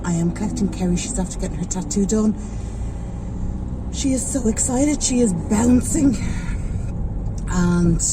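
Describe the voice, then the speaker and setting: A woman's voice: fairly low pitch, noisy background. An Irish woman in her forties, chatting to her vlog camera from her car, a bit stuffed up from a sore throat. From Ireland. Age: 40s. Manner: chatty, rambling, loses her thread. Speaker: vlogger. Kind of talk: casual vlog chat while driving. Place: inside a car in traffic.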